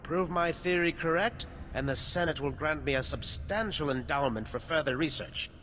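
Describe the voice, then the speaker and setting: A man's voice: fairly high pitch, normal speed, a bit bright, close to an everyday voice. A man playing a self-important, fame-hungry scientist in a video game, with a formal, verbose, pompous manner. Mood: pompous, eager. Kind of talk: video game character dialogue. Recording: reverberant hall with background noise.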